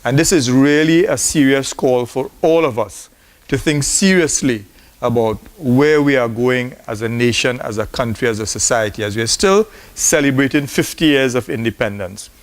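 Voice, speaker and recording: Man, formal speech, earnest and exhorting, mid-pitched voice. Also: normal speed. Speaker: speaker at a university forum. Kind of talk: formal closing address.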